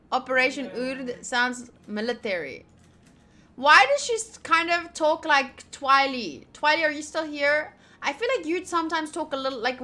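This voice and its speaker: A woman's voice: on the high side, bright, forceful tone. A young woman streaming a game playthrough, casually reading dialogue aloud and drifting into playful, rambling asides.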